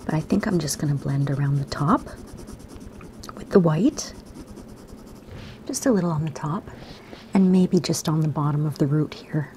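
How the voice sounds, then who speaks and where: A woman, slightly hoarse today, gently talking kids through a drawing lesson in a soft, half-whispered voice. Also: sits low, moderate pace, long pauses, a little hiss or hum. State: raspy, slightly sore throat. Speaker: art teacher for kids. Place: home room by a window.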